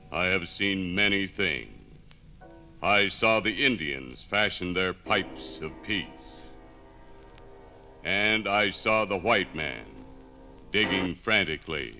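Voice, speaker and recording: An ancient, self-important spirit of iron orates in a deep, bright voice. The delivery is slow, pompous and theatrical, in the manner of 1950s radio drama. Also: male voice, expressive, soft background music, exaggerated voice. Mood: solemn, grand.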